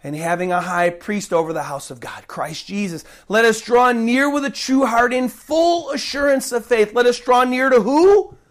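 A woman's voice: on the high side, moderate pace, few pauses. A woman preaching an emphatic sermon while recovering from a cold and fighting to keep her voice. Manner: emphatic, repeats key points, swings between loud stresses and soft drops.

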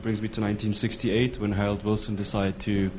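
Low-voiced man asking from the audience. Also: flat delivery, a little fast, a little mellow, a little hiss or hum. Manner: hesitant, with many fillers. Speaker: audience questioner. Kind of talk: long audience question. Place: lecture hall.

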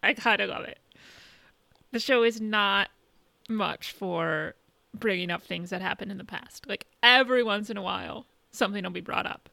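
A woman podcast co-host chatting casually in a halting, conversational way, recapping what she has been watching. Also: medium pitch, creaky, sharp tone, no room sound. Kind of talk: casual chat, recapping shows and films.

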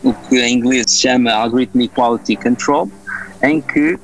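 A man's voice: mid-range voice, normal speed, poor audio. A man in his thirties from Portugal with a European Portuguese accent, answering an interview in a hesitant way with many fillers and restarts. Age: 30s. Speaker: interview guest. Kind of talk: casual conversational answers.

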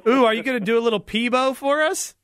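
A male co-host trades casual jokes on a radio show. His voice is high for a man. The recording is dry and close. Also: fast talker, fairly bright tone, smooth, dry recording. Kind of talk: casual joking banter.

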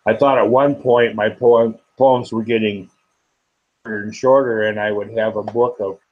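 A man, a poet and guest, speaking slowly and haltingly with many fillers in an interview. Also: on the low side, fairly even intonation, narrow, tinny audio. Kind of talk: interview and poetry reading.

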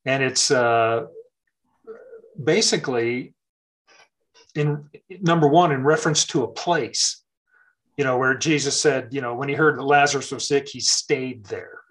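A man's voice: mid-range voice, fairly bright tone, low-quality recording. A middle-aged man talking casually about faith and scripture, thoughtful, with many fillers and restarts.

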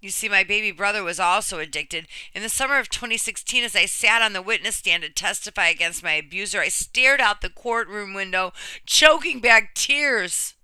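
A woman's voice: medium pitch, moderate pace, bright, forceful tone, clear, resonant tone, dry recording, low-quality recording. A woman reading a personal written story aloud in an earnest manner. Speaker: reader of another person's true story.

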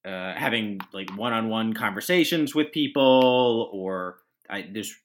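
A man's voice: fairly low pitch, a little slow, a little hiss or hum. A man hosting a casual podcast chat, rambling through his thoughts with many fillers and restarts. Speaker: podcast host.

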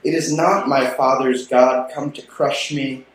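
Slow-paced man reading poetry in echoey room. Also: medium pitch, fairly even intonation. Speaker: poet reading at a literary event. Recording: very echoey room, faint noise.

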